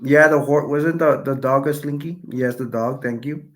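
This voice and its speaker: A man hosting a casual live stream, chatting loosely with viewers and reading their comments aloud, his voice starting to go hoarse. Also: medium pitch, moderate pace. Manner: rambling, self-correcting, chatty. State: voice going hoarse.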